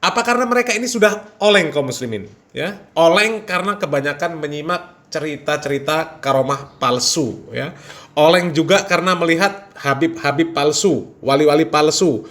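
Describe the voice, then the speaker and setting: A man's voice: a little high, normal speed, fairly bright tone. A man hosting a channel, giving casual religious commentary to viewers in a mocking, amused way.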